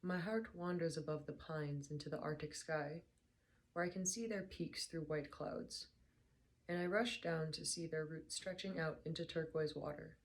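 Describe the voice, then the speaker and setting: A young woman speaking in a very low, gravelly voice that has gone hoarse and worn. She sounds tired and talks in a soft, unhurried way as she chats and reads aloud. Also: rough recording. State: hoarse, slightly lost voice. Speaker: book reader vlogger. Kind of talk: casual chat then reading aloud.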